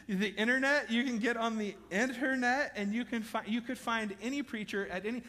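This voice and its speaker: A man preaching a sermon in a church auditorium, chatty and joking with the congregation, then building to loud, emphatic bursts. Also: sits high, long reverb tail. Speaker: pastor.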